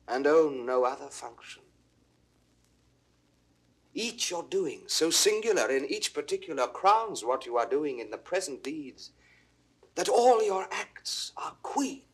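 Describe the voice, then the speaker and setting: A man playing an ardent, devoted young prince in classical Shakespearean verse, with a British RP accent and tender, deliberate phrasing. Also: on the high side, expressive, slightly rough, full character voice. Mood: adoring. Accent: British Received Pronunciation.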